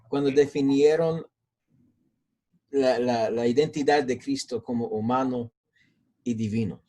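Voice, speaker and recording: A man's voice: fairly high pitch, darkish tone. A man, a pastor and seminary teacher, answering interview questions in Spanish with an accent that mixes American and Mexican, hesitant with many fillers and repeats. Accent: mix of American and Mexican Spanish.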